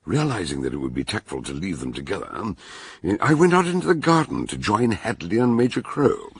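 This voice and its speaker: An actor playing a blunt British detective who reasons emphatically in a radio mystery drama. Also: male voice, on the low side, big pitch swings, husky, full character voice. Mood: measured. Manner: emphatic, argumentative, deliberate.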